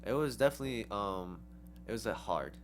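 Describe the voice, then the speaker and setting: A woman's voice: extremely low pitch, expressive, a little fast. A young woman dancer answering interview questions in a rambling way, full of "like" and "um", with giggly laughs. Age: young adult.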